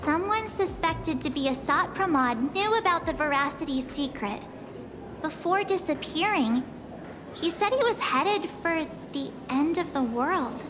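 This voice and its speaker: Casting: curious, innocent girlish companion for a story game, tiny high voice, music behind. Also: expressive, a little slow. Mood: earnest. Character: girlish, naive, curious young female companion. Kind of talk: video game story dialogue. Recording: music under the voice.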